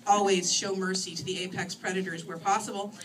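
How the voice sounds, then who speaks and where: A woman reading her first-person novel aloud in an expressive, theatrical and wry manner. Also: medium pitch, a little fast. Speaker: author reading own novel. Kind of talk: animated first-person story reading to an audience. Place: screening room, live reading. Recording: distant microphone in a room.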